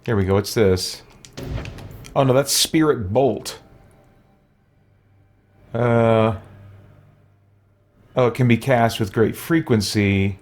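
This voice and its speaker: A man's voice: medium pitch, takes it slowly, pauses often, a little mellow. A man giving casual let's play commentary, thinking aloud and musing to himself as he goes. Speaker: gaming video host.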